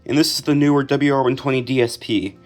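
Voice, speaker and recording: A young man with an American accent talks through items in a collection. His voice sits at an average pitch, and he speaks in an informal, matter-of-fact way, like a casual home presenter. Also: fairly even intonation, quick pace, soft background music. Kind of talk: casual collection showcase narration.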